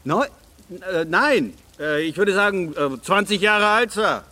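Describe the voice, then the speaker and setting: An actor playing a gruff, sardonic English police detective near retirement in a German radio crime drama. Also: male voice, high voice, lively intonation, a little slow, pushed and bright, heavily acted. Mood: grumbling. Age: 60s. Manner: blunt, grumbling.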